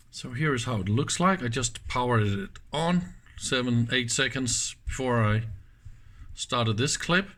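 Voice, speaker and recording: A man presenting a casual hands-on demonstration, speaking in halting pieces and often checking in with short questions. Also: mid-range voice, fairly bright tone. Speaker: presenter of electronics teardown videos.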